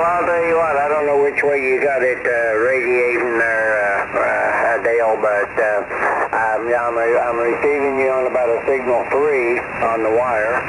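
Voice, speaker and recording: A man chatting casually as an amateur radio operator, folksy and a little halting, heard over a radio link. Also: medium pitch. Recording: very noisy ham radio reception. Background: loud radio static and hum.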